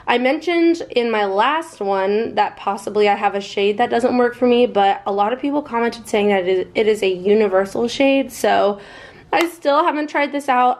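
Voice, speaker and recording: A young American woman with a slightly hoarse voice, chatting casually through a makeup vlog. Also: medium pitch. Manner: chatty, upbeat, fast-talking. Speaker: beauty vlogger.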